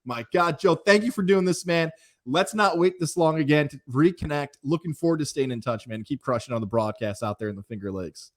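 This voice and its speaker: Middle-aged American male podcast host, fairly high voice, chatty and effusive, conversational interviewing. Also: lively intonation, fairly quick. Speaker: podcast host, sports broadcaster.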